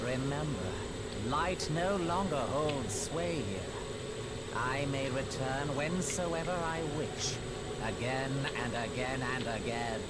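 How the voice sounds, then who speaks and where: An actor playing an arrogant, menacing immortal sorcerer in a fantasy video game, declaiming in archaic, grandiose language over noisy game audio. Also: male voice, mid-range voice, takes it slowly, lightly acted. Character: theatrical, arrogant immortal male dark sorcerer villain.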